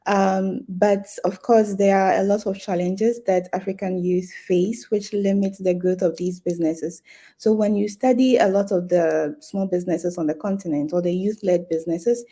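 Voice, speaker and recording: A woman from Ghana speaking on a business panel, explaining her points in a measured way with frequent fillers. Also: mid-range voice, fairly even intonation, mellow. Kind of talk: panel discussion.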